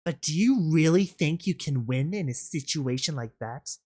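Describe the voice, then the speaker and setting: A woman's voice: sits low, expressive, normal speed, a little mellow, breathy edge. A woman voicing a cruel, arrogant female pegasus tyrant in a story, with a guttural, taunting, menacing delivery. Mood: smug menace. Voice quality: guttural, growling.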